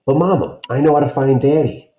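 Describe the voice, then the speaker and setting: Man as young storybook boy, earnest, eager, pleading, raised pitch, echoey room. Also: fairly quick, mellow, close to an everyday voice. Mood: determined. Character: earnest young boy narrating a frightening ordeal, pleading, determined. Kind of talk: children's storybook read-aloud.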